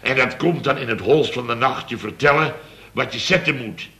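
An actor playing a blustering, indignant boss of a building firm in a Dutch radio comedy, exclaiming and grumbling. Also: male voice, mid-range voice, normal speed, sharp tone. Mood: exasperated. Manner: exclamatory, grumbling, tags sentences with "hè" and "zeg".

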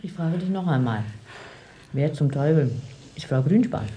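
A woman's voice: sits low, animated, normal speed, dark tone. A woman playing an exasperated, bossy wife in a radio play, insistent and disbelieving. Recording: roomy, noisy radio recording.

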